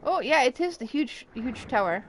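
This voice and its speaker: A woman's voice: high voice, lively intonation, moderate pace, slight background noise. A woman streamer giving casual live game commentary, musing aloud and asking herself questions, with faint game music behind her.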